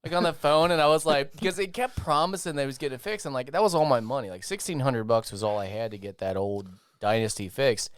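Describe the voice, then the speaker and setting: A man's voice: medium pitch, fast, few pauses, smooth, no echo. A man co-hosting a podcast, telling rambling, profane anecdotes in casual comedic chat. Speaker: podcast co-host.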